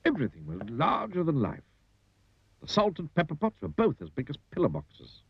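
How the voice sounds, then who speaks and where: A man narrating an animated children's story, theatrical, with drawn-out emphasis on key words. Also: fairly high pitch, vocal fry, slightly rough, no room sound. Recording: dull lo-fi audio with music. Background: music.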